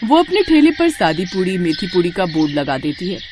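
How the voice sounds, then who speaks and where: A woman narrating a scripted moral tale for animation in an expressive storytelling manner. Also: lowish voice, normal speed, background noise.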